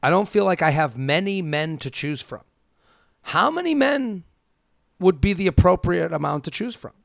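A man's voice: high voice, moderate pace, vocal fry, dry recording, clean studio sound. A man in his thirties co-hosting a casual advice podcast, asking rhetorical questions and acting out quotes from imagined people. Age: 30s. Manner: animated quoting of imagined speakers, rhetorical questions. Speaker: podcast co-host.

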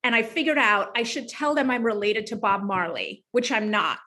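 A woman with a Jamaican accent, a physician guest, telling a lively personal story in an interview. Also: a little high, moderate pace, background noise.

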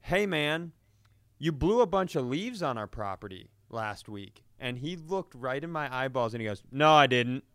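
A man's voice: medium pitch, animated, moderate pace, a bit bright, no echo, clean studio sound. A man telling stories casually and comically as a podcast co-host, indignant and sweary, acting out the exchanges he quotes. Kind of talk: casual comedic storytelling.

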